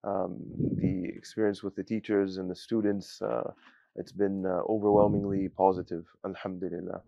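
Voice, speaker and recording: Hesitant young man, dark voice. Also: low voice, little pitch movement, moderate pace, creaky, dry recording, muffled recording. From United States. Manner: hesitant, many fillers, sprinkles Arabic phrases. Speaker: student giving a testimonial. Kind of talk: casual spoken testimonial.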